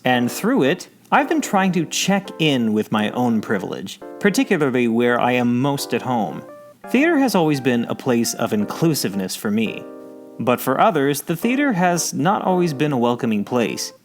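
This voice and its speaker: A man hosting and narrating a documentary-style episode in a reflective, measured way. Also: medium pitch. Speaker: host.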